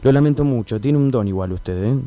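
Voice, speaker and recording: Man acting a bossy film director, Argentine accent, mellow dark timbre, fast pace, pompous, curt, radio comedy. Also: mid-range voice, natural voice. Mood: pompous, cool. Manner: curt commands, pompous pronouncements. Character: bossy, self-important male film director at an audition. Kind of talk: comic radio sketch.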